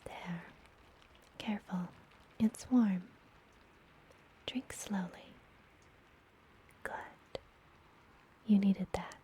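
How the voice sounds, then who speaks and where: A woman playing a friendly, gentle witch healer in an ASMR fantasy roleplay, whispering softly and soothingly, as if up close. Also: mid-range voice, poor audio. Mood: soothing, nurturing. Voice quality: soft, breathy whisper. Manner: slow, soothing, intimate personal attention. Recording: close whisper with background noise.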